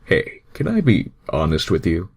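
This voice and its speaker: A man's voice: low voice, wildly swooping pitch, normal speed, gravelly, breathy edge, exaggerated voice. A man voicing a friendly, easily startled cartoon hedgehog hero in a fan fiction reading. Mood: kind, earnest.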